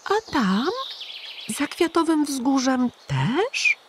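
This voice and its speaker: A woman's voice: big pitch swings, very slow, background noise, heavily acted. A woman doing a small anxious boy hedgehog. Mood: worried. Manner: high, pleading and worried.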